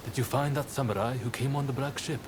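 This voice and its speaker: A man playing an earnest, level-headed samurai rebel in a video game cutscene, speaking in a measured, sober way. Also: mid-range voice, fairly even intonation, noisy background, lightly acted. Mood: concerned.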